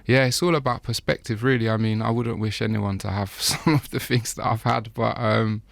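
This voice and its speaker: A man giving an interview, talking in a relaxed, rambling way with frequent laughs. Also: fairly low pitch, normal speed, vocal fry, no echo. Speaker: interview guest, chef. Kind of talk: casual interview talk.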